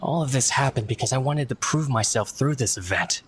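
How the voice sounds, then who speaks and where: An earnest young office man from a video game, played by an actor, with a mid-pitched voice. He explains himself politely and contritely, in measured sentences. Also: lively intonation, slight background noise, natural voice. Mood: apologetic, explaining. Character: earnest, apologetic young male book editor.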